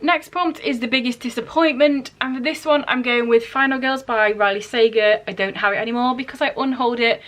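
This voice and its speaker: A woman's voice: a little high, fairly quick, hardly any pauses, a bit bright. A woman vlogger chatting informally to her viewers, correcting herself and wandering into asides as she goes. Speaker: book vlogger, host. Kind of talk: casual chatty vlog talk to viewers.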